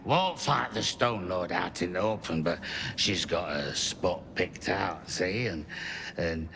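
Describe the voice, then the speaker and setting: A man playing a boozy guild informant in a game, meandering and halting in a slurred, gravelly voice. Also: sits low. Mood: boozy, muddled. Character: rambling drunken guild informant, boozy and conspiratorial. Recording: background noise and ambience.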